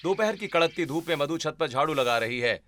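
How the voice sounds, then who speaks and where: A man's voice: fairly high pitch, expressive, fairly quick, crowd noise. A man narrating a scripted cartoon family story.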